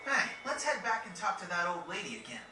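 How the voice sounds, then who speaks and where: A man playing a brash, excitable young teenage boy in a video game cutscene, speaking bluntly and casually. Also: sits high, a little fast, roomy sound, recorded from a distance, noisy background.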